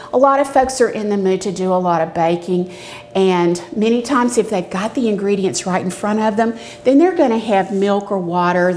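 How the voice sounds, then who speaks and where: A woman's voice: on the low side, darkish tone. A middle-aged woman hosting a casual cooking and craft demonstration, explaining step by step in a chatty, folksy way. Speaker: cooking show host, extension educator. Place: medium-sized indoor demonstration kitchen. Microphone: lapel mic. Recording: clear background noise with clicks.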